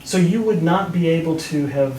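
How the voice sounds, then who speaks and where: A man's voice: on the high side, moderate pace, a little mellow. A man hosting an interview, asking brief, direct questions. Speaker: interviewer, host. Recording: roomy echo, mic at a distance, faint noise.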